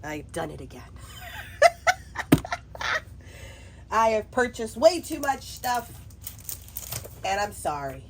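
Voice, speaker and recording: A cheerful woman at her home craft table, filmed by an overhead camera, chatting through a haul. She sounds stuffed up from a cold, and her voice is bubbly and chatty, with frequent laughter. Her pitch sits in a middle range. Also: sing-song, takes it slowly, long pauses, background noise. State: congested from a cold. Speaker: craft vlogger. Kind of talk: casual haul video chat.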